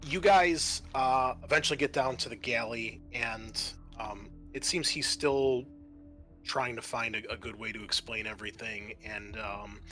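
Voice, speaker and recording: A man narrating a tabletop role-playing game as game master, haltingly, often circling back to rephrase. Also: mid-range voice, bright, forceful tone. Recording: music behind the voice. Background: music.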